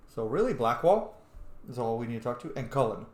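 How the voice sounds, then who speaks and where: A man with an average-pitched voice talks casually through a video game. He often mutters to himself as he plays, and the room around him adds a clear echo. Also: big pitch swings, fairly quick, darkish tone. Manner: mutters to himself while playing. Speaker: game streamer, let's player. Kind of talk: casual gameplay commentary.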